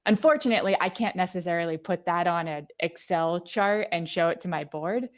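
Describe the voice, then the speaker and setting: Woman, lowish pitch, articulate, conversational, explanatory interview guest. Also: fairly quick. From United States. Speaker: marketing executive, podcast guest.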